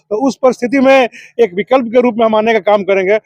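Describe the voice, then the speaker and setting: A man's voice: very high-pitched, brisk, darkish tone, dry recording, highs cut off. A man answering as a political activist, oratorical, building his points in repeated phrases and lists. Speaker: political activist, district committee member.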